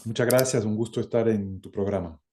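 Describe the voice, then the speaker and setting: Male interview guest, middle-aged, low and very dark voice, halting, reflective delivery. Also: a little hiss or hum. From born in France, lived some twenty years in Ecuador. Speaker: interview guest, academic and former government minister.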